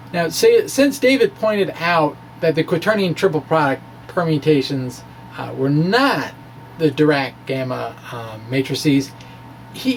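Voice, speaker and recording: Male science blogger, slightly high voice, unhurried and hesitant, wry asides, light background noise. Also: low-quality recording. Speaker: physics blogger reading own post. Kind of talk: informal reading of technical blog with asides.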